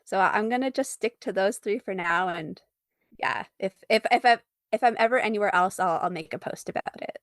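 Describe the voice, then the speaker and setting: Female interview guest, mid pitch, casual and giggly, dry recording. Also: a little fast, no room sound. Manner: giggly, laughs often while talking. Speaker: interview guest, artist and fan fiction author.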